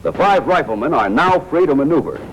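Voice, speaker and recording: Animated American man, 1940s film narrator. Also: on the high side, brisk. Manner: tough, wry, slangy, addressing soldiers directly. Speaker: military training film narrator. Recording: noisy 1940s film soundtrack.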